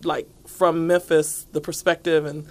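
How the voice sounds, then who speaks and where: A woman's voice: low-pitched, little pitch movement, unhurried. A woman hosting a podcast, reading a scripted intro and then chatting with a guest in an upbeat, enthusiastic way. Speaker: podcast host.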